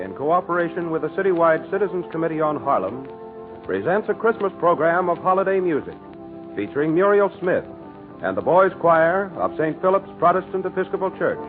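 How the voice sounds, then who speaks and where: Animated 1940s American radio announcer, tinny sound. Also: male voice, on the high side. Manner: measured, formal, declamatory.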